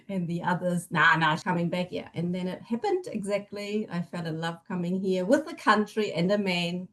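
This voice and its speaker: A woman's voice: fairly low pitch, lively intonation, moderate pace, fairly bright tone. Middle-aged woman with German accent. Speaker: podcast guest, family constellation facilitator. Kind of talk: casual chat, personal storytelling.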